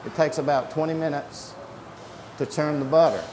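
A man's voice: a little high, steady tone, normal speed. Middle-aged man in an echoey, noisy room. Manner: plain-spoken, step-by-step. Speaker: creamery worker explaining production. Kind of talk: explanatory interview answers. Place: indoor creamery processing room with steel tanks. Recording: echoey room, loud equipment noise.

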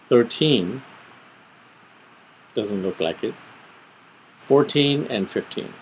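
A middle-aged man giving an informal, step-by-step screen tutorial, thinking aloud methodically with pauses. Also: medium pitch, a little hiss or hum, low-quality recording. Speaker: tutorial presenter. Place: small room at home, indoors.

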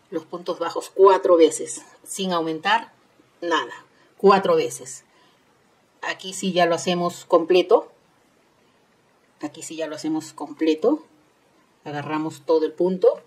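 A woman's voice: lowish voice, unhurried, long pauses, a little mellow. A woman hosting an informal step-by-step craft tutorial, instructing with repeated phrases and tag questions. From Peru. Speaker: host of a crochet tutorial channel.

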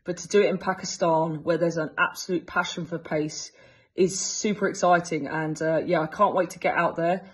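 English woman in her 30s, husky voice, keen and chatty, lots of ums, talking into earbuds on a call. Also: fairly low pitch, fairly even intonation, moderate pace. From England. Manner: enthusiastic, frequent fillers and restarts. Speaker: fast bowling coach. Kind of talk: casual interview answers. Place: indoors, small room. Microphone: earbuds on a video call.

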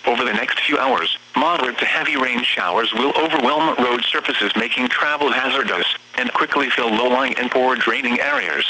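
A synthetic text-to-speech man's voice reading formal, measured emergency weather bulletins as an automated announcer over the radio. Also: medium pitch, sharp tone, slight background noise, rough recording. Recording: buzzy, humming weather radio broadcast.

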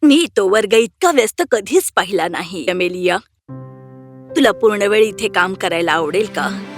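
A woman voicing an approving, reassuring school principal in an animated fairy tale, with a composed manner. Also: medium pitch, normal speed, faint music behind, lightly acted.

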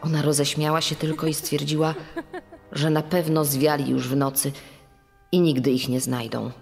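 A woman's voice: low voice, little pitch movement, normal speed, vocal fry, darkish tone, soft background music. A woman playing a prison guard's daughter recalling her childhood in a dramatised true crime story. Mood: quiet recollection. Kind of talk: dramatised recollection.

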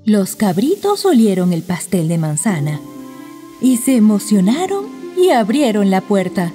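A woman's voice: mid-range voice, big pitch swings, a little slow, warm tone, in a large hall, faint music behind. A woman narrating a children's bedtime fairy tale from a script.

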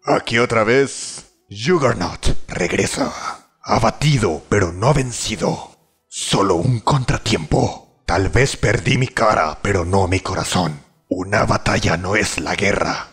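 Gravelly, proud masked warrior played by a man. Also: fairly low pitch, unhurried, fairly bright tone, heavily acted. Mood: defiant. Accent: Latin American Spanish. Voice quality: gravelly, raspy. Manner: solemn, clipped battle declarations. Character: proud, menacing masked male swordsman warrior. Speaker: fan dub voice actor. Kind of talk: fan dub of video game hero lines.

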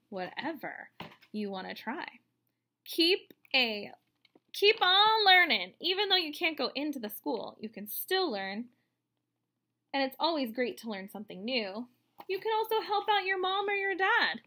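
A woman's voice: high-pitched, big pitch swings, a little slow, pushed and bright. A woman in her thirties reading a children's book aloud, explaining simply and expressively. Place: indoors, room at home.

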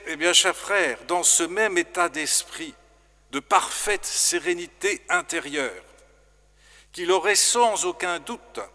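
A middle-aged priest gives a formal sermon, speaking very slowly and with great care. His voice is bright and clear, sitting a little high for a man. It rings out through a long church reverb. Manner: slow, measured, formal preaching. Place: large stone church. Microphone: stand microphone in front.

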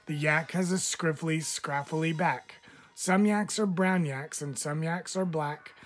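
A man, a teacher, reading children's animal poems aloud in a measured, expressive storytelling manner. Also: fairly high pitch.

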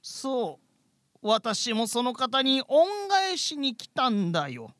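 A man's voice: extremely high pitch, huge pitch swings, takes it slowly, a bit bright, no echo, studio quality, full character voice. A man voicing a theatrical, human-sized, chatty spider monster in a radio comedy drama. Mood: knowing, chatty.

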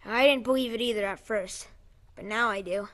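A woman's voice: fairly high pitch, moderate pace, fairly bright tone, natural voice. A woman acting in an audio drama as an earnest, pleading young underground scavenger. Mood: earnest, persuasive. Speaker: actor.

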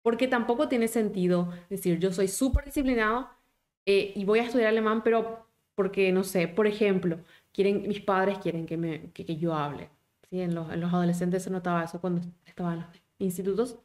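A woman, a German teacher in a live online class who talks with lively encouragement and asks her viewers many questions. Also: mid-range voice, fairly quick. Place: small home office indoors.

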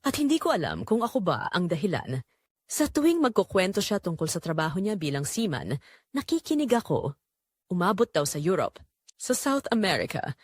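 Expressive low-voiced Filipino woman narrating. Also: moderate pace, no echo, clean studio sound. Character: earnest 25-year-old female live-in caregiver, confessing inner turmoil. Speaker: audio drama narrator. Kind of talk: first-person dramatic scripted narration.

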